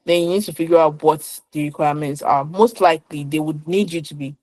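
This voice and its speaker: A woman's voice: low voice, steady tone, a little fast, a little mellow, dry recording. A young woman with a Nigerian accent, a guest in an interview, explaining things earnestly and often restarting her sentences. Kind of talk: conversational interview.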